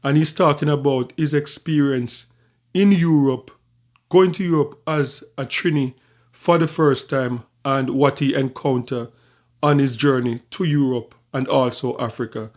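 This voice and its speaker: A man hosting a reaction video, explaining in a measured, conversational way. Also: mid-range voice, steady tone. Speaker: reaction channel host.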